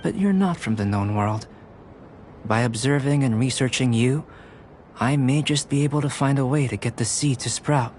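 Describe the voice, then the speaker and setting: A man voicing a calm, curious young male alchemist in a video game, with precise, academic and politely detached delivery. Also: medium pitch, slight background noise, natural voice. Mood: composed, reassuring. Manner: measured, precise, academic phrasing.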